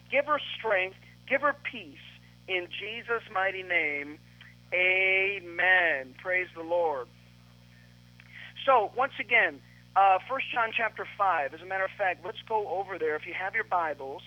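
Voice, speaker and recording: A man's voice: high voice, highs cut off. A man preaching and praying as a radio pastor, fervent and stretching key words for emphasis. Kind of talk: radio sermon with prayer. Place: radio studio.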